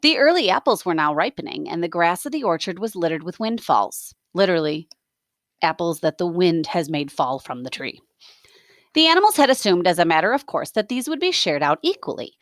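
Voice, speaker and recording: A woman reading a story aloud as a teacher, expressive, with explanatory asides. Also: on the low side, a little fast, dry recording, clean studio sound.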